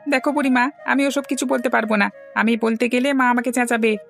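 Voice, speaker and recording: A young village schoolboy in a cartoon, voiced by a woman. He sounds high-pitched, plainspoken and helpful, with a grumbling streak. Music plays behind the lines. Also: steady tone, a little fast, vocal fry, fairly bright tone. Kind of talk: cartoon dialogue acting.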